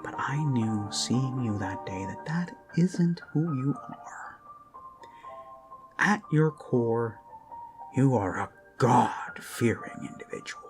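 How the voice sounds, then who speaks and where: A man performs a creepy, lovesick male captor in an intimate ASMR drama. He speaks slowly and haltingly, with wide swings from hushed murmurs to pointed emphasis, menacing and adoring at once. Music plays under the voice. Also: medium pitch, lively intonation. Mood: fervent, zealous. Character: obsessive male yandere kidnapper, gushing, menacing, self-abasing zealot. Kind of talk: intimate ASMR roleplay monologue. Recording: background music under close voice.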